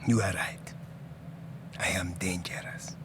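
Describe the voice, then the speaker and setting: An actor playing a menacing, cold-blooded dealer in a video game, speaking deliberately and threateningly. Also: male voice, deep voice, steady tone, in a whisper. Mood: quiet menace. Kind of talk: dramatic video game voice acting. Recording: music behind the voice.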